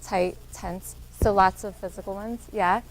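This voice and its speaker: A woman with a soft voice, a social worker giving an interactive workshop talk and encouraging answers from the audience. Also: medium pitch, fairly even intonation, unhurried, slight background noise, low-quality recording.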